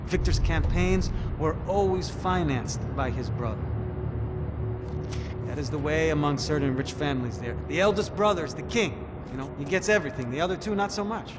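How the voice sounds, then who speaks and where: An actor playing a shrewd, sardonic police detective in a video game, with a knowing, mocking delivery. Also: male voice, fairly high pitch, animated, normal speed, lightly acted. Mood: knowing, ironic. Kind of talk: video game cutscene dialogue. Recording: loud car and street noise behind.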